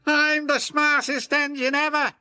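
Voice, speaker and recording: A man's voice: extremely high pitch, level tone, a little slow, pushed and bright, pitched above the performer's own voice, exaggerated voice. A man voicing a vain, proud young male steam engine with a haughty, self-important manner in a storybook reading.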